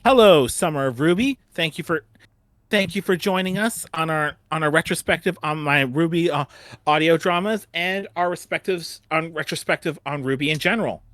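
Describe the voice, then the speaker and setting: A man's voice: fairly high pitch, normal speed, a bit bright, no room sound. A man hosting a casual fan retrospective panel, often repeating and restarting his phrases.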